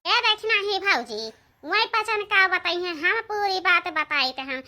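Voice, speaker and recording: A woman's voice: extremely high pitch, animated, a little slow, sharp tone, exaggerated voice. A woman playing an aggrieved, quarrelsome village woman in a comedy cartoon, plaintive and repetitive, with an eastern Uttar Pradesh accent. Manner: plaintive, emphatic, repeats phrases.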